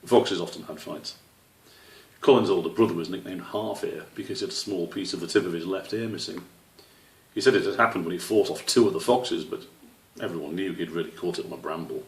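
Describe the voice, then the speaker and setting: Man reading a kids storybook, deepish n creaky, measured storyteller, roomy echo, away from the mic. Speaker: author reading his own book. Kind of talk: children's storybook narration. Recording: roomy echo, distant microphone.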